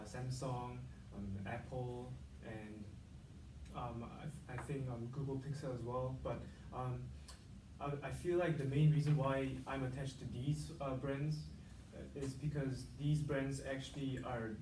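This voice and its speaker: A man talking in a focus group, hesitant and full of ums and restarts. His voice sits at a middle pitch and is picked up from a distance in an echoey room with a clear hum of ambience. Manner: hesitant, many fillers and restarts. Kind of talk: casual discussion.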